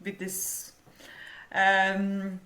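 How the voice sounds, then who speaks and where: A young woman from Lithuania with a Lithuanian accent, a podcast guest speaking slowly with halting non-native grammar. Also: medium pitch, completely flat delivery, pushed and bright, echoey room. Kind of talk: casual interview.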